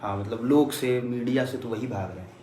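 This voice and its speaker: A man's voice: medium pitch, brisk, mellow. A man on a phone call, listening sympathetically and replying briefly, hesitant and agreeable. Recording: echoey call recording with faint noise.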